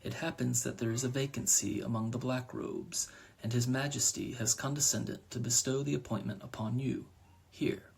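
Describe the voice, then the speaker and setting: A man voicing a strange robed envoy with a hoarse, cackling voice. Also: medium pitch, fairly even intonation, normal speed. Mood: commanding.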